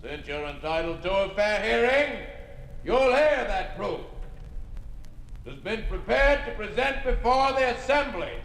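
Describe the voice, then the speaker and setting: High-voiced pompous official, echoing hall. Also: male voice, animated, creaky, a bit bright, heavily acted. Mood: cold, accusing. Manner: formal, deliberate, declamatory. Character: pompous, cold regime official presiding over a senate. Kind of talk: 1950s radio drama oratory. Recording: echoey hall, faintly noisy old radio recording.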